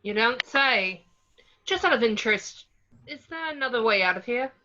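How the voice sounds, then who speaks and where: A woman's voice: fairly high pitch, animated, normal speed, pushed and bright, muffled recording, a little hiss or hum. A woman playing a wry, blunt teenage boy wizard in an amateur table read.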